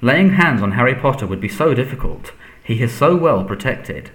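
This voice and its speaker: A man voicing a nervous, servile, cowardly henchman in a storybook, stammering and pleading in a squeaky voice. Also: lively intonation, moderate pace, fairly bright tone, roomy sound, close to an everyday voice, poor audio. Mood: relieved. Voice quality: squeaky when agitated.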